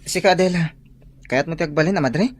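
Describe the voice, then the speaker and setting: A man's voice: fairly high pitch, quick pace, lightly acted. A man playing an earnest, polite young student in a radio drama, serious and hesitant.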